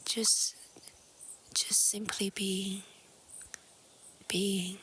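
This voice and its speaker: A woman with a soft, breathy, whispery voice calmly guiding a relaxation meditation in short, gentle phrases. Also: mid-range voice, slight background noise. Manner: calm, hushed, gentle, with short pausing phrases. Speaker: yoga nidra meditation guide. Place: outdoors in nature. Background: faint natural ambience.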